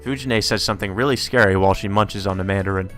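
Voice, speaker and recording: Bright, forceful low-voiced man reading aloud. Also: fast talker. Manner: informal, slips into character voices. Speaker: let's play host. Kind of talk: reading visual novel dialogue aloud with asides. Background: video game music.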